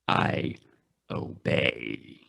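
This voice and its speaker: A man playing a cold, obedient alien machine in a radio play, speaking in a halting, toneless, deliberate way. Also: over music. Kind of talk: scripted radio play reading.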